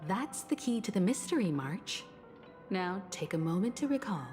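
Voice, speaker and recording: An actress playing a composed, perceptive woman in a video game, explaining things in a poised, deliberate way. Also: medium pitch, very animated, a little mellow, lightly acted. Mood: knowing, composed. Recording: long hall reverb, faint music.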